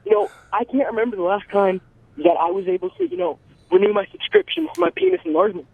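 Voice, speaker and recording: A man's voice: very high-pitched, sing-song, quick pace, creaky, no echo, narrow, tinny audio. A man phoning in to a broadcast with hesitant delivery. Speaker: prank phone-in caller. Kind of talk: live phone call to a broadcast.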